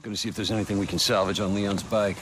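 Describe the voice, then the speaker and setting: An actor playing a tough, loyal biker sidekick, blunt and wry, in a video game cutscene. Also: male voice, on the low side, flat delivery, rapid-fire, noisy background, lightly acted. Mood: dry, practical.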